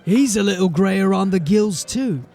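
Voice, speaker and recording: A wary fantasy watch officer, pitched fairly high for a male voice. He speaks bluntly and with dry, guarded sarcasm, with faint music underneath. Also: expressive, normal speed, creaky, mellow. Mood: sardonic. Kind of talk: fantasy audio drama acting.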